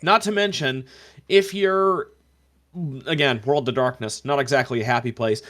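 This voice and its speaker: A man's voice: medium pitch, normal speed, a bit bright. A man hosting a podcast in casual group discussion, loose and wry, with some swearing. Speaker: podcast host.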